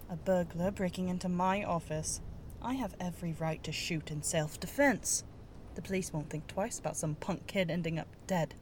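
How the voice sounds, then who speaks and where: A woman's voice: lowish voice, darkish tone, slight background noise, lightly acted. A woman playing a tough, assertive, wry ex-detective in an audio drama. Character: tough, commanding female ex-detective, sardonic and determined.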